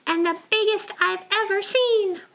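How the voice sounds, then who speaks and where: Woman as an eager high-voiced little storybook penguin. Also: unhurried, gravelly, a little hiss or hum, heavily acted. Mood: admiring. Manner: childlike, excitable. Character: eager, wide-eyed young male storybook penguin. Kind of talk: picture book read-aloud.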